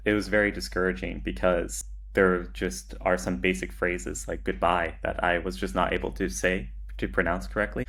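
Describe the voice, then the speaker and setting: A man with a low voice and little melodic movement talks casually as a podcast guest. He is measured and matter-of-fact, pausing as he works out his answers. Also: flat delivery, creaky, a bit bright, slight background noise. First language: English. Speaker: podcast guest, agency founder. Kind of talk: casual interview conversation.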